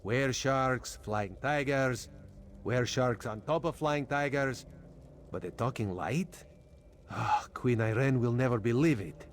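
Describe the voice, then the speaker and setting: A man's voice: mid-range voice, takes it slowly, noisy background. An actor playing a wry, roguish cat-man agent in a fantasy video game, with a droll, theatrical manner. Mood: wry, musing. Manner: third-person speech, droll, theatrical.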